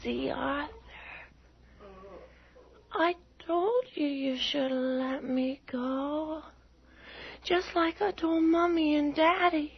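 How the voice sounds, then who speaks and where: A woman's voice: sits high, steady tone, slow pace, pauses often. An actress playing an unhinged, childlike, murderous woman with playful menace in an old-time radio horror drama. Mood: taunting triumph. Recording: dull old radio recording, faint noise.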